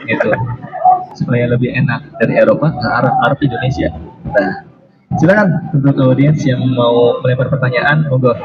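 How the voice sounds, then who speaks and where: A man hosting a casual talk, playfully coaxing the audience to ask questions. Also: medium pitch. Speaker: host moderating audience questions. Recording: lo-fi with loud noise.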